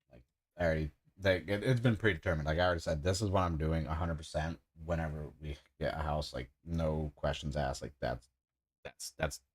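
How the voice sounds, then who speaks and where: A man in his thirties chatting casually and rambling enthusiastically while he builds a model kit on a livestream, with many filler words. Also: extremely low pitch. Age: 30s.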